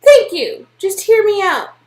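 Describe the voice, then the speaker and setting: A woman's voice: very high voice, big pitch swings, measured pace, a little mellow, roomy sound, full character voice. A woman playing a distraught young woman, insistent and emphatic, in an amateur reading of game dialogue. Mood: desperate, insistent. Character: distraught, frantic young woman obsessed with her vanished boyfriend.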